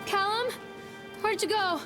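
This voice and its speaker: High-voiced anxious mother, game drama. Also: measured pace, over music. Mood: pleading, worried. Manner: brooding, hushed narration turning to urgent calling. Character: anxious young mother searching for her lost son. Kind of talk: dramatic video game acting, inner narration and calls.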